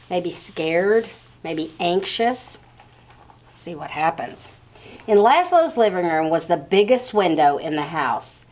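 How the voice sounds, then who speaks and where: A woman with a slightly low voice reads a picture book aloud for young children. She breaks the story into short phrases with pauses and addresses her listeners directly. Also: slight background noise.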